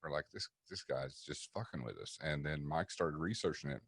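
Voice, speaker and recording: Deep-voiced male guest, loose profane storyteller, close dry mic. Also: moderate pace, breathy edge, no echo. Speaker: paranormal podcast guest. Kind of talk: casual storytelling chat. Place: podcast studio around a table. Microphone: studio mic.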